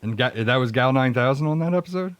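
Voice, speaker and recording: A man's voice: medium pitch, expressive, fast talker, smooth, no echo. A man co-hosting a podcast with casual, joking, sarcastic banter. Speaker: podcast co-host and producer.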